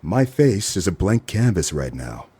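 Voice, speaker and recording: Man playing a low-voiced, dry noir private eye. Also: measured pace, breathy edge, no echo, full character voice. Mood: confrontational, dry. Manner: dry, deadpan. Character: weary, suspicious male private detective, dryly sardonic. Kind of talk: noir radio play acting and narration.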